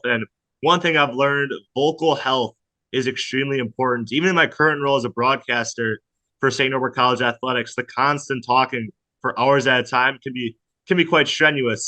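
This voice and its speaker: A man's voice: medium pitch, pushed and bright. A man who works as a sports broadcaster, posing prepared, polished questions as an interviewer.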